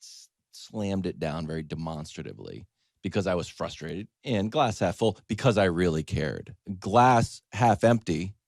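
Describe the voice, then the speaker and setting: A man with a lowish voice hosts a sports talk show as a comic storyteller. His pitch swings widely as he stresses his points and acts out little exchanges. The sound is dry and close. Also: normal speed, gravelly, dry recording. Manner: emphatic, animated, acts out quoted exchanges. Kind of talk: conversational comedic storytelling monologue.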